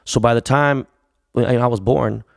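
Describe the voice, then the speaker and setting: A man who grew up speaking Korean, hosting a podcast in an excitable, slangy way. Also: mid-range voice, vocal fry, dry recording, studio quality. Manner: excitable, slangy and quick to react. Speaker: podcast host. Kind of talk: casual podcast conversation.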